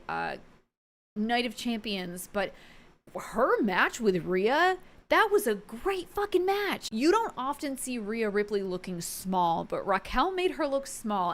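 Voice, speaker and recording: A woman giving casual, enthusiastic opinion commentary as a video host, chatty and informal with emphatic delivery. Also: medium pitch.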